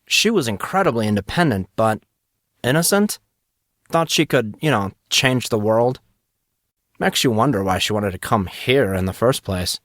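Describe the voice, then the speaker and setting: An easygoing young man reminisces in a relaxed, mid-pitched voice, as a narrator puts him on. The sound is dry and close-miked, without any room echo. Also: moderate pace, close to an everyday voice. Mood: wistful.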